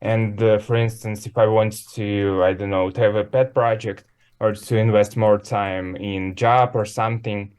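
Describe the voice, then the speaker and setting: A man learning English, giving a measured casual answer with many fillers. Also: fairly low pitch, steady tone, a little mellow, clear, resonant tone.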